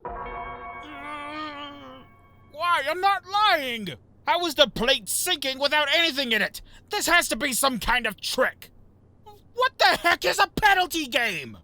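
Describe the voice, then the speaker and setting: Greedy crooked boss, extremely high-pitched, frantic protests, drawn-out delivery, audio drama. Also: male voice, lively intonation, bright, forceful tone, no echo, full character voice. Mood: indignant. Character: greedy, panicky, dishonest adult male museum boss. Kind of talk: melodramatic audio manga acting. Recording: voice over prominent dramatic music.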